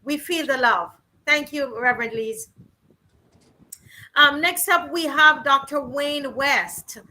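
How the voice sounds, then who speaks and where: A middle-aged woman hosts and introduces guests in a loose, chatty way, filling pauses with plenty of ums and restarts. Her voice sits high, with a bright edge. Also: rough recording. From Barbados. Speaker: host of a virtual rally. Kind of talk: casual hosting and introductions.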